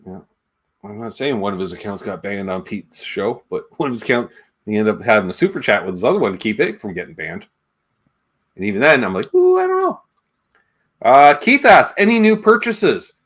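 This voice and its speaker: A man's voice: on the low side, sing-song, brisk, a bit bright. A man hosting a livestream in a casual, chatty way, wandering between asides and viewer comments. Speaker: livestream show host.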